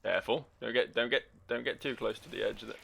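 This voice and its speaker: A man's voice: medium pitch, fast, vocal fry, bright, forceful tone, husky. A man giving casual, joking let's play commentary, rambling and teasing as he talks to the game's character. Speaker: gaming video host.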